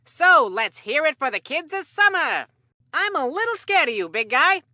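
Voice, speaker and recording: An actor voicing an excitable, corny, food-loving kid baseball commentator in a video game, with a hammy, drawn-out announcer delivery. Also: high-pitched, no echo, studio quality, full character voice. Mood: chatty, joking.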